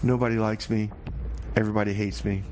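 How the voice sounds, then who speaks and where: A man playing a glum, self-pitying, hapless radio DJ who talks in a halting, dejected, repetitive way on a comedy music show. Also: on the low side, flat delivery, noisy background, close to an everyday voice. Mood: flustered, gloomy. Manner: halting, dejected, sighing, repeating himself. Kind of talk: comedy radio theater DJ patter.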